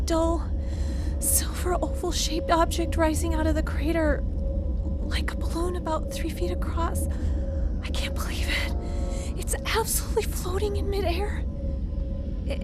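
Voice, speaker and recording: A woman playing a frightened field reporter in a radio drama, phoning in a halting, breathless eyewitness account. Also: very high-pitched. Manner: halting, breathless, repeating herself. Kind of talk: modern radio drama acting.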